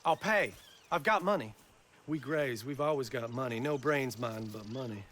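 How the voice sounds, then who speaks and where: An actor playing a lovesick young gentleman with a Southern US accent, earnest, dreamy and pleading. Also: mid-range voice, normal speed, slight background noise, close to an everyday voice.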